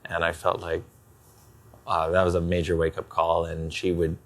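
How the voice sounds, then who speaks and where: A man giving a candid personal account in a hesitant, halting way. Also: deep voice, completely flat delivery. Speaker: husband in couples counselling.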